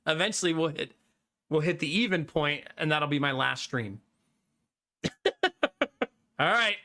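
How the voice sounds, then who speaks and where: A young adult man streaming live, chatting casually with his viewers, animated and talkative, often laughing. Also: on the high side, takes it slowly, pauses often.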